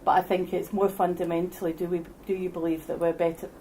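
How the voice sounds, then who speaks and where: A woman speaking at a public debate, hesitant and halting, often restarting her sentences. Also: on the low side, little pitch movement, warm tone, a little hiss or hum, rough recording. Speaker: debate speaker against independence.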